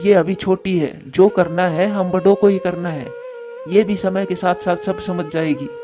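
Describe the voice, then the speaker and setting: A woman voicing a doting, protective father bird with a gentle, coaxing manner in a cartoon story. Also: fairly low pitch, fairly even intonation, a little slow, highs cut off, lightly acted. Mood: worried. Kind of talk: Hindi cartoon story acting. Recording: music behind the voice.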